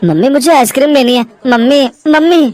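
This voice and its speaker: A woman's voice: sits high, animated, a little fast, darkish tone, some chatter behind. A woman voicing an innocent, eager little boy in a family story. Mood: pleading.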